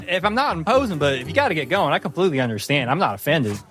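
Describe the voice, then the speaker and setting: A man's voice: on the high side, very animated, very fast, fairly bright tone. A man voicing a tiny, earnest, slightly unhinged swordsman in a tabletop game, cheerful, chivalrous and rambling. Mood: gracious, proud.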